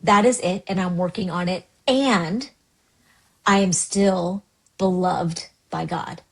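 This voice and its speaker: A woman's voice: fairly low pitch, slow, gravelly, breathy edge. A woman pastor with Cantonese as her first language, talking earnestly in a candid podcast conversation, often restarting her sentences. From United States, Chinese immigrant family. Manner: earnest, animated, many restarts. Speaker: pastor, guest.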